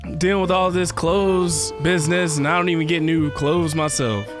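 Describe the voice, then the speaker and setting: A man's voice: a little high, normal speed. A man giving casual live commentary as he plays a game, muttering playful reactions. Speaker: game streamer. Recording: game music clearly behind the voice.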